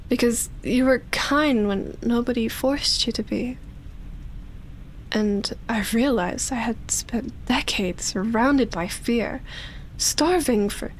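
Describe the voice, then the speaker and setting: A woman voicing an ancient, lonely and seductive female vampire in an intimate ASMR roleplay, soft and breathy, with hesitant, tender delivery. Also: medium pitch, slight background noise, rough recording. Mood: tender, yearning. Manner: slow, hesitant, sighing delivery with long pauses.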